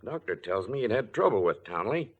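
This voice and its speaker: A businesslike police inspector in a 1940s radio drama. His voice is on the low side, with clipped, matter-of-fact delivery. He stays easygoing and routine. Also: sing-song, fairly quick, narrow, tinny audio. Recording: vintage 1940s radio broadcast.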